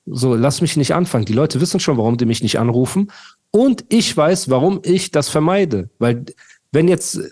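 A man's voice: fairly high pitch, animated, normal speed, a little mellow. A young man co-hosting a podcast, ranting heatedly and trading insults while talking over his partner. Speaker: podcast co-host. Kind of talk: casual podcast chat and rant.

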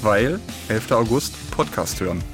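A man's voice: lowish voice, expressive, moderate pace, over music. A man hosting a podcast with casual, joking banter. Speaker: podcast host.

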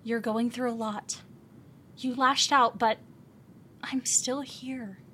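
An actress playing a caring friend who gently reassures someone after a bad night. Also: female voice, on the high side, fairly even intonation, takes it slowly, slight background noise. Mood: soothing. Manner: soothing and reassuring. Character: caring woman friend waking a sleeper.

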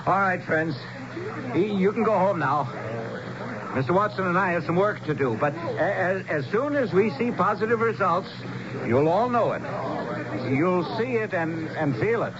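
An actor playing an earnest, cautious town leader who addresses anxious townsfolk haltingly in a radio drama. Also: male voice, high voice, lively intonation, crowd noise. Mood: hopeful, calming. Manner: halting, repetitive public address. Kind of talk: 1970s radio drama acting. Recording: dull old radio broadcast.

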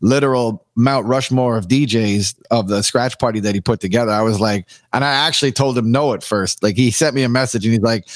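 A Canadian man with a raspy, worn voice from long nights of streaming. He tells his stories with animated enthusiasm at a mid pitch, recorded close and dry with no room around him. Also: brisk, few pauses, no echo. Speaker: guest DJ. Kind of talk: candid podcast conversation.

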